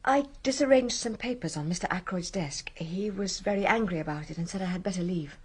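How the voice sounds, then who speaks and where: An actress playing a proud young parlour maid, guarded and clipped, respectful yet defiant, in a radio drama. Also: female voice, lowish voice, brisk, a little mellow, breathy edge.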